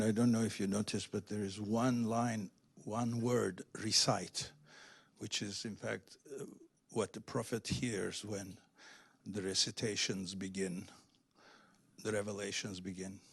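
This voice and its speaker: A man speaking at a literary event, explaining something in hesitant, halting phrases. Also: on the low side, level tone, creaky, husky, dry recording. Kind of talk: explanatory talk to an audience. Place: public library event.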